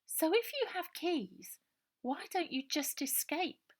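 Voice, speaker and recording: Curious, anxious storybook girl acted by a woman, high and earnest, full of questions. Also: big pitch swings, slow pace, exaggerated voice.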